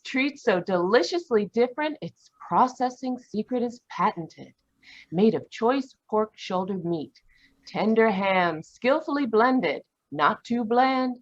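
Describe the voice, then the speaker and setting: Woman as lilting, unhurried radio advertiser. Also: a little high, highs cut off. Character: cheery, persuasive female canned-meat advertiser. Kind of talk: 1940s radio commercial reading. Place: live video call at home. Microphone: video call.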